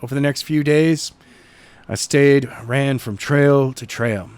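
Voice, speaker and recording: A man's voice: mid-range voice, takes it slowly, darkish tone, smooth, dry recording. A man working as an amateur narrator and podcast co-host, reading a novel and chatting casually about it.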